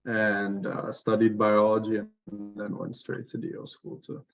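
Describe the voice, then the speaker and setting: A man's voice: lowish voice, level tone, unhurried, creaky, faint music behind. A man in his twenties from Sweden, a medical student talking casually in a webinar and using "like" a lot. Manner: casual, with lots of "like" filler. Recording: dull lo-fi audio.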